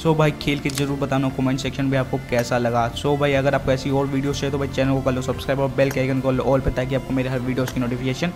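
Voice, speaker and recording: A man's voice: medium pitch, completely flat delivery, fast, few pauses. An Indian man hosting a gaming channel, giving casual video commentary. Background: background music.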